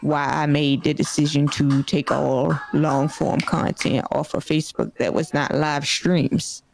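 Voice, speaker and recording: A woman in her late twenties, a streamer guest, explaining her work in a measured way with many fillers. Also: low-pitched, steady tone, gravelly, darkish tone, slight background noise. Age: 20s. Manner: measured, explanatory, full of "you know". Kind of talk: casual interview chat.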